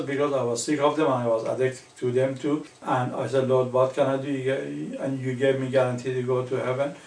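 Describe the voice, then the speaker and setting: Man, Iranian accent, native Farsi speaker, medium pitch, fervent testimony, simple repetitive phrasing, roomy echo. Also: darkish tone, far from the mic. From northeastern Iran. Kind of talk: personal religious testimony in an interview. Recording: echoey room, away from the mic.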